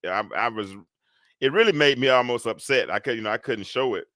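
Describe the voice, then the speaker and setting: A man's voice: medium pitch, a little fast, a bit bright, no room sound, studio quality. A man hosting a casual live talk, rambling with lots of fillers and restarts. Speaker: host.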